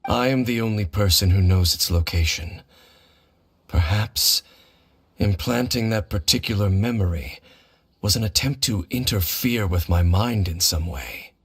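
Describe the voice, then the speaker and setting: An actor playing a grave, reserved male wanderer in a video game, speaking in a formal, measured and calm way. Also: lowish voice, breathy edge, heavily acted.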